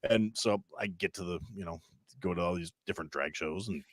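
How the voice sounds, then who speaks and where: A man's voice: brisk, a bit bright, no echo. A man in his thirties from Wisconsin, a podcast guest telling long rambling stories and acting out other people's voices. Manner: rambling storyteller, acts out voices with big pitch jumps. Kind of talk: casual chat.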